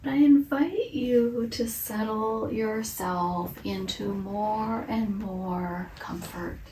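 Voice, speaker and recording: Yoga teacher lady, really slow soft meditation voice, bit of whisper, echoey room, mic kinda far. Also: medium pitch, a little hiss or hum. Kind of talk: guided meditation.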